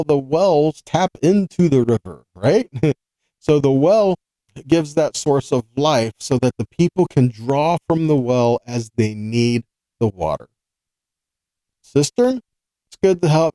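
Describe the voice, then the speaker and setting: A man's voice: mid-range voice, dark tone, dry recording, rough recording. A man teaching scripture in an informal, earnest sermon style, explaining conversationally with rhetorical questions and repeated points. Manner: conversational, asks rhetorical questions and checks in with listeners. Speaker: Bible teacher hosting a weekly scripture study.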